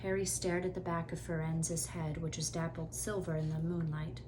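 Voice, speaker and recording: A woman narrating a children's novel as a read-aloud storyteller, expressive and deliberate. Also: deep voice, a little hiss or hum, poor audio.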